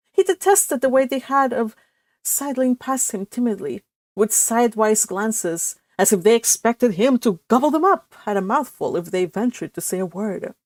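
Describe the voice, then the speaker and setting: Female storybook narrator, fairly high voice, expressive read, dry recording. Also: dry recording. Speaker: audiobook narrator.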